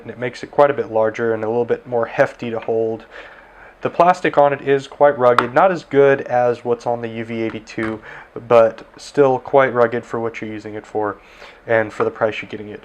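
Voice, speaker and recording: A man's voice: medium pitch, a little hiss or hum. Easygoing man reviewing a radio. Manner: easygoing, frequent "uh" fillers. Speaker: tech reviewer, ham radio operator. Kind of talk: casual product review. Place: indoors at a desk.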